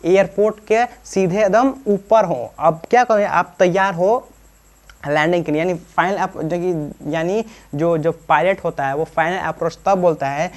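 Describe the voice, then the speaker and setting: A man's voice: high-pitched, moderate pace. A man from Bihar with a Bihari accent explains like an informal teacher, colloquially, often asking questions and answering them himself. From Bihar, India. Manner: colloquial, slips into local dialect. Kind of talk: informal classroom-style explanation.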